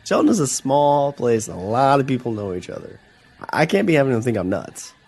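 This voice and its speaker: Nervous stammering dad, audio drama; lively up-and-down delivery, mid pitch. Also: male voice, fairly quick. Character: nervous small-town father with a strange video. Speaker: witness.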